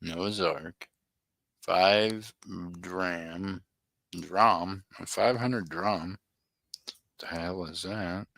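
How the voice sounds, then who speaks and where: A man's voice: low voice, extremely slow, creaky, long pauses, a bit bright, no room sound. A middle-aged man hosting a live auction stream, chatting and joking with viewers by name. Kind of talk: casual livestream chat.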